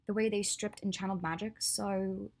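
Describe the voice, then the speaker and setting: A woman reading the part of an earnest, clever young witch, her voice a little raised and husky. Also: medium pitch, level tone, normal speed, a little mellow. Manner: earnest, then sulky and teasing.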